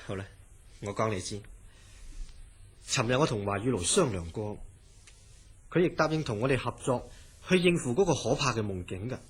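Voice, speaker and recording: A man's voice: medium pitch, expressive, moderate pace, long pauses, slightly rough. A man playing a grave, authoritative hospital director in a Cantonese radio horror drama, with a Hong Kong accent. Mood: grave, measured.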